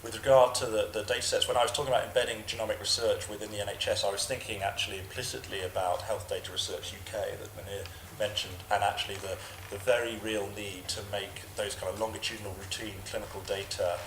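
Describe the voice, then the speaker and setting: Man, panelist, low voice, hesitant, repeats words, echoey room, background noise. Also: flat delivery, fast talker, few pauses. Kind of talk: panel discussion answer. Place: large parliamentary committee room.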